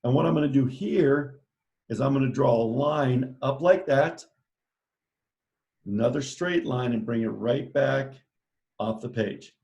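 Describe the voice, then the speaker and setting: A man's voice: medium pitch, a little slow, gravelly, long pauses, a little mellow, muffled recording. A man teaching a live online drawing lesson, giving playful step-by-step instructions in an upbeat way. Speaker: art teacher.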